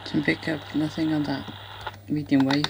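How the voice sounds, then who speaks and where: A man's voice: a little high, flat delivery, moderate pace, gravelly. A man with a British accent giving a casual hands-on demonstration as the host of a home video. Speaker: host showing a radio collection. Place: indoors at home, small room. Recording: very noisy home recording with radio static. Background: radio static and clicks.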